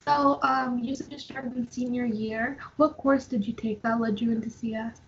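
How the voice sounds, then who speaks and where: A woman's voice: a little high, fairly even intonation, moderate pace, creaky, lo-fi sound, a little hiss or hum. A young woman conducting a casual interview in an informal, affirming way.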